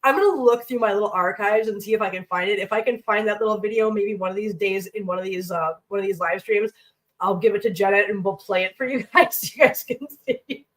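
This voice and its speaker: A chatty woman at a middle pitch, cooking in her home kitchen and rambling to her viewers and her pets. She sounds congested. Her voice sits a little back from the mic. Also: fast, far from the mic, low-quality recording. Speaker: cooking livestream host. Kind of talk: casual chat while cooking.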